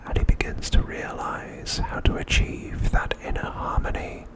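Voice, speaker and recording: Whispering man, ASMR narration. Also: a little slow. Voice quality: breathy, unvoiced whisper. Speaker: ASMR host.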